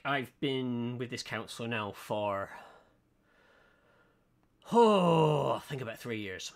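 Man, mid-pitched, earnest live-streamer chatting while drawing, halting with fillers and restarts. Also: a bit bright. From United Kingdom. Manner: earnest, hesitant, many ums and restarts.

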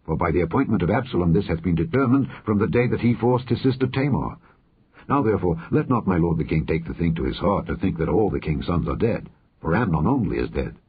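A man reading aloud gives a steady, reassuring voice to a shrewd royal counselor. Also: low-pitched, quick pace, slightly rough, full character voice. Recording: muffled phone-like audio.

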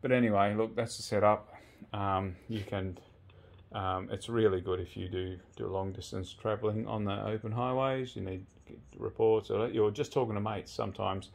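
A man's voice: on the low side, fairly even intonation, moderate pace, creaky. An Australian man giving a casual, laid-back how-to walkthrough in a rambling, colloquial way. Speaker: presenter of a motorcycle gear video. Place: indoors in a home garage.

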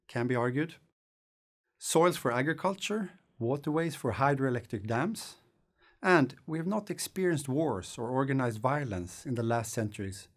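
A man, presenting a scripted lecture in a studio in a measured, thoughtful way. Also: medium pitch. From Sweden. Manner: measured, thoughtful explaining with hand gestures. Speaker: course lecturer. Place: studio against a green screen.

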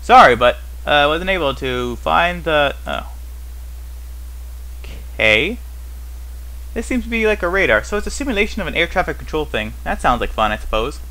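A man with a fairly high, bright, forceful voice hosts a casual gameplay commentary. He rambles as he thinks aloud, often putting questions to himself. His humour is wry, with a mock-puzzled edge. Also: very animated, moderate pace, pauses often, poor audio. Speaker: host of a gaming series.

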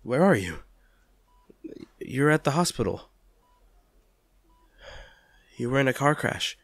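A man playing an anguished, tender lover comforting an injured partner in a hospital audio roleplay, speaking haltingly and with emotion. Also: mid-range voice, dry recording. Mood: gentle, sorrowful. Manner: halting, stammering, emotional delivery. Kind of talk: audio roleplay drama, one-sided dialogue.

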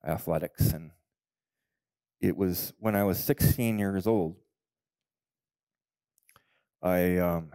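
A man, a pastor telling his own story in a sermon, building to loud, emphatic peaks. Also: low-pitched, no room sound. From Canada. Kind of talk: sermon with personal testimony. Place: church stage, indoors. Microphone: headset mic.

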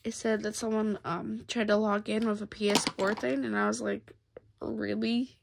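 A woman's voice: medium pitch, little pitch movement, vocal fry, slight background noise. A woman with a cold, sounding stuffed up, chatting casually to her viewers while she colors. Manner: soft, unhurried, chatty with pauses. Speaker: coloring channel host. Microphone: overhead camera on hands.

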